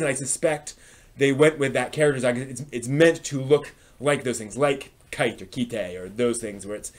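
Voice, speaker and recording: Male live stream host, unscripted reviewer, mid-pitched, conversational, thinks aloud and rephrases as he goes. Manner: halting and discursive, circles back to rephrase. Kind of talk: casual unscripted review commentary.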